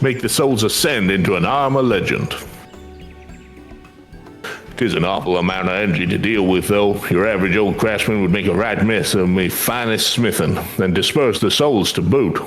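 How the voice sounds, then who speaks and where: Give a gruff fantasy-game smith, acted by a man, pitched well down and blunt. He talks in rough, folksy turns of phrase, teasing as he goes, with music behind him. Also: normal speed, lightly acted. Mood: exasperated, confident. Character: gruff, blunt male blacksmith, teasing and foul-mouthed.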